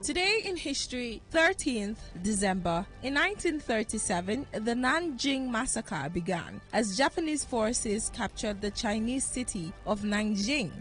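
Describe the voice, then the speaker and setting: A woman narrates a scripted history segment for radio. Her voice is on the high side, with music playing softly behind her. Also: lively intonation, measured pace, few pauses, poor audio. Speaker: radio presenter. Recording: faint music bed underneath. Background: faint music.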